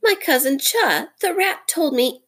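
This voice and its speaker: A woman's voice: high-pitched, expressive, a little slow, a bit bright, voice pushed up. A woman voicing a timid, sorrowful little male muskrat from a storybook, whimpering and pleading. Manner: weepy, pleading, sobbing.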